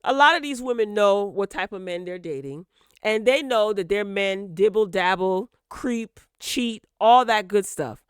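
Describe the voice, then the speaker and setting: A woman with a smooth, clear voice and a mid-range pitch hosts casual gossip commentary. She talks straight to her listeners, emphatic and opinionated, repeating words to drive a point home. The sound is dry and close, with no room echo. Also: lively intonation, a little slow. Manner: emphatic, direct address to listeners with repetition for emphasis. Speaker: host of a celebrity gossip commentary channel.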